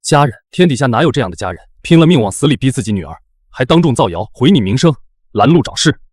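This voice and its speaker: A man's voice: mid-range voice, sing-song, fast talker, a little mellow, husky, no echo, studio quality. An actor playing a protective, commanding young man in a short drama, speaking with firm assurance. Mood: concerned. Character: protective, commanding young male rescuer with cold authority.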